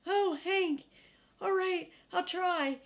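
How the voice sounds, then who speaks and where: A woman voicing a timid, excitable small male dog in a storybook, pleading breathlessly with stammers and a squeal. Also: slow, heavily acted. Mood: anxious, obedient. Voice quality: high-pitched squeal.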